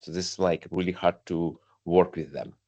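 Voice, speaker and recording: A very low-voiced man asks for advice on a video call. He speaks haltingly, pausing and searching for the right words in English. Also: flat delivery, mellow, highs cut off. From Russia. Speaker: session participant.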